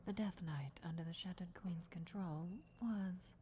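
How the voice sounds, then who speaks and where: A woman's voice: lowish voice, slight background noise, natural voice. Woman playing a whispering, deferential vampire emissary. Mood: hushed, deferential. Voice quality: breathy whisper. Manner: hushed, formal, measured, reverent. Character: deferential female vampire emissary briefing her lord before war. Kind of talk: whispered ASMR fantasy roleplay.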